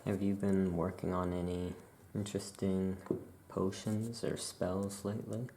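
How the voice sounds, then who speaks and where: A very low, gravelly voice speaks softly in an ASMR roleplay as a laid-back witch shopkeeper. The delivery is very slow and casual, with hesitant, drawn-out musing. A faint noise sits behind the voice. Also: level tone. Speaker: ASMR performer role-playing a witch shopkeeper. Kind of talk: soft-spoken ASMR roleplay.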